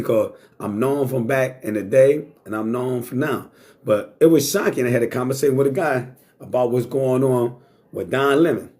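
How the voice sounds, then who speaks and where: A man's voice: medium pitch, a little mellow. A middle-aged man hosting a talk show, talking bluntly and casually, swearing freely. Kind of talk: casual monologue to live chat.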